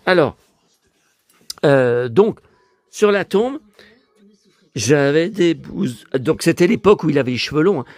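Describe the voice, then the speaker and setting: A hoarse middle-aged man talks in a fairly high voice, rambling from one thought to the next and repeating his words. He stammers and breaks into emotional asides. The sound is dry and close, as if spoken into a phone. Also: expressive, unhurried, pauses often, darkish tone, no echo. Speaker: YouTuber. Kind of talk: casual rambling vlog chat.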